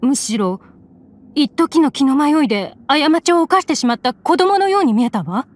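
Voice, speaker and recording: A woman voicing a gentle mother in a game, speaking softly and politely. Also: high-pitched, animated, normal speed. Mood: thoughtful. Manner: soft, polite and anxious. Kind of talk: game voice acting.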